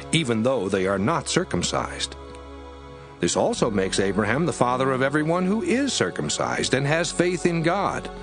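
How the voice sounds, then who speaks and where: A man reading scripture as a scripted audiobook, with an emphatic, measured and rhetorical delivery. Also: medium pitch. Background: music under the voice.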